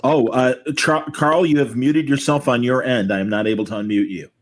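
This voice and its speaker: A man's voice: mid-range voice, fairly even intonation, fairly quick. A man hosting a livestream, chatting casually on a group video call. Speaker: livestream host. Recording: video call with voices overlapping behind.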